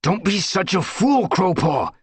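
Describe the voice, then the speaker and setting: A man's voice: high voice, animated, measured pace, a bit bright, no echo, voice pushed up, exaggerated voice. A man doing a snarling young tom warrior. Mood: stern. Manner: snarling, scolding. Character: stern young tomcat warrior.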